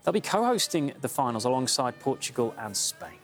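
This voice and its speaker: A news presenter narrating a scripted sports report in a brisk, informative way. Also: mid-range voice, sing-song, faint music behind.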